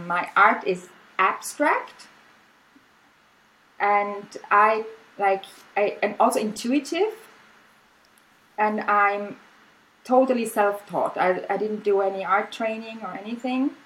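A woman's voice: mid-range voice, takes it slowly, low-quality recording. Austrian woman chatting with frequent laughs. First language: German. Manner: relaxed, laughs often, many fillers and restarts. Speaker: guest artist. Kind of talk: casual interview chat.

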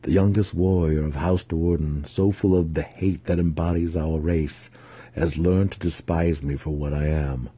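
A man voicing a regretful male dark elf weapon master who murmurs to himself in a fantasy story. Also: extremely low pitch, fairly even intonation, normal speed, husky, no echo. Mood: bitter, sorrowful.

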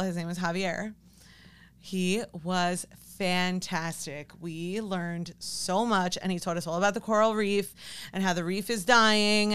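A woman with a strikingly smooth, clean voice at a medium pitch speaks as a podcast guest. She is a fitness coach, and her delivery is informal and conversational, like someone explaining things in a casual chat. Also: a bit bright.

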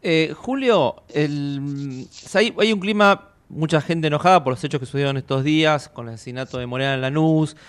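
Male host, smooth, slightly high, hesitant interviewer. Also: normal speed, hardly any pauses. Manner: hesitant, many fillers while framing a question. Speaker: radio host. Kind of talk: interview questions.

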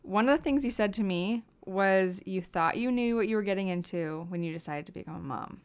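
A woman hosting a podcast, interviewing a guest in a gentle, supportive way. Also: medium pitch, clear, resonant tone. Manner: supportive, gentle, quick to affirm. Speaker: podcast host.

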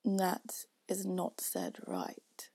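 A woman plays a sleepy, contrary caterpillar from a storybook. The voice is husky and soft-edged, drawling each terse line with aloof slowness, recorded very dry. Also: on the low side, sing-song, dry recording, in a whisper, full character voice. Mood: stern, contrary. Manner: slow, drawn-out, terse delivery. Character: curt, contrary, sleepy caterpillar smoking a hookah. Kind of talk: storybook reading.

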